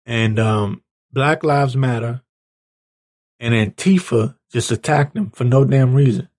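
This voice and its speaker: A man with a raspy, hoarse voice giving an informal opinion rant as a commentator, halting, blunt and exasperated. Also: mid-range voice, darkish tone. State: hoarse, clearing his throat. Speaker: political commentator reading tweets and articles.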